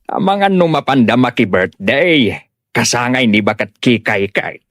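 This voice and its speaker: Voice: medium pitch, lively intonation, moderate pace, a bit bright, dry recording, studio quality. An actor with an Ilocano Filipino accent playing a nosy, scolding village neighbour in an audio comedy-drama. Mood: curious. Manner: questioning, nagging.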